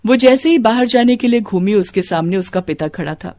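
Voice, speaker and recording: A woman's voice: medium pitch, expressive, a little fast, mellow. A woman narrating a scripted devotional story as a storyteller.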